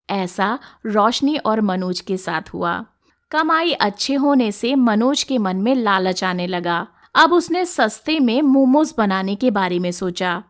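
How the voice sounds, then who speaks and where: A woman narrating a scripted moral story as a storyteller. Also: a little high.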